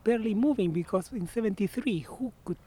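Argentine-accented man in his sixties, animated. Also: high voice, fairly quick. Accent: Argentine Spanish. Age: 60s. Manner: animated storyteller, trailing and restarting phrases. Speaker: interview guest. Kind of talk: casual outdoor interview. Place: outdoors on benches by a marina.

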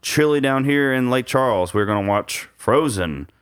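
A man's voice: medium pitch, expressive, moderate pace, a bit bright, smooth, no echo. A man hosting a casual podcast chat, easygoing and rambling with lots of fillers. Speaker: podcast host. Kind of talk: casual chat over beers.

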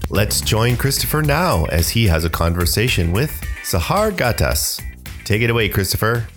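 A man reading a scripted podcast introduction in a measured, announcer-like way. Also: fairly low pitch, big pitch swings, a bit bright. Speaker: podcast producer introducing the episode. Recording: voice over background music. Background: music.

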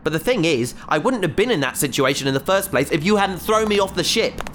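A man playing an earnest, soft-hearted, talkative spaceship crewman in a sci-fi audio drama, wry and argumentative. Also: fairly high pitch, animated, fast talker, slight background noise. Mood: grudging.

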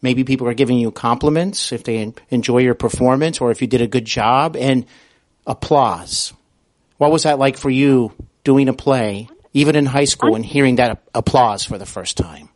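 Man, podcast interviewer, mid-range pitch, conversational, attentive, supportive. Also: moderate pace.

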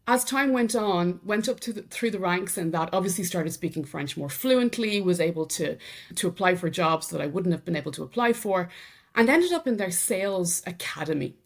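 A middle-aged Irish woman talks through her career as a podcast guest. Her voice sits a little low, and she tells her story with an easy, conversational flow. She laughs readily as she goes. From Ireland. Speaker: podcast guest, sales enablement executive. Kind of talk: conversational interview.

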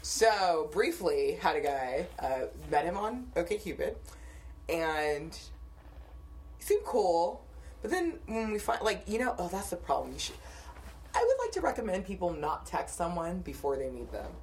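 A young woman co-hosting a podcast, telling dating stories in a casual, animated way and laughing often. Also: on the low side, measured pace, a little hiss or hum, poor audio. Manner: animated storytelling with frequent laughter. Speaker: podcast co-host. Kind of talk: casual chat.

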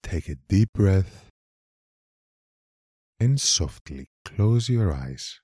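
A man leading a guided meditation in a calm, measured manner, leaving long pauses between short phrases. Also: low voice, husky, no echo, clean studio sound. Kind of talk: slow guided meditation narration.

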